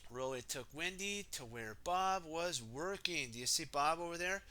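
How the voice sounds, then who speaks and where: A man reading a children's picture book aloud in an animated way, adding his own asides and exclamations for young listeners. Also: fairly high pitch, normal speed.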